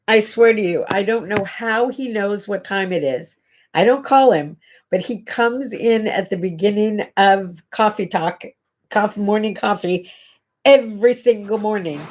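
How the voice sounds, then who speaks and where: A woman's voice: mid-range voice, animated, a little slow, darkish tone. Woman host, stuffy nasal voice, middle-aged, chatty, scattered, home webcam. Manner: chatty, scattered, addresses viewers directly. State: congested, nasal. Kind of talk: casual morning livestream chat. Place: home bedroom.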